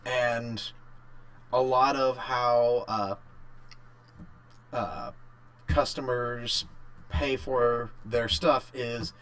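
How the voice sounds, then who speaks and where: A man co-hosting a casual podcast chat, thinking aloud in short, halting bursts. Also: medium pitch, fairly even intonation, a bit bright, rough recording. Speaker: podcast co-host. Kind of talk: casual chat about games and work.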